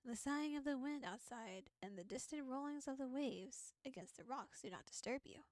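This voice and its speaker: A woman's voice: sits high, normal speed, dry recording. A playful, giggly woman VTuber reading a visual novel aloud and adding casual commentary. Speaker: VTuber streamer.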